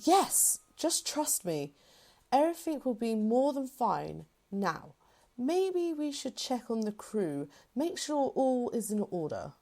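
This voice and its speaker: Assured female general played by a woman, fairly high voice with animated pitch, formal and reassuring, sci-fi roleplay. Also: slow pace, exaggerated voice. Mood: composed, reassuring. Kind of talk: fan-made sci-fi roleplay drama.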